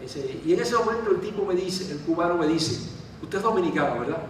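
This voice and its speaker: Man from Cuba, animated storyteller, distant mic, very echoey room. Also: fairly high pitch, a little fast. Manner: animated anecdotal storyteller, self-deprecating. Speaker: author. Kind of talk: storytelling talk and answering questions. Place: large event hall. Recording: echoey hall from far off, faint noise.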